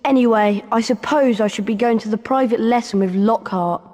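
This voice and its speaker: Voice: medium pitch, normal speed, darkish tone, natural voice. An actor playing an earnest, worried schoolboy wizard hero in a video game. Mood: worried, resigned.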